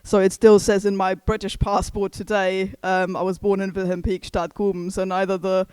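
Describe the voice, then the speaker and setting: Measured woman historian, smooth voice. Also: medium pitch, level tone, a little mellow, no room sound. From East Germany. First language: German. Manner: thoughtful, hedging with many fillers and restarts. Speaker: historian and author. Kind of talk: book launch talk.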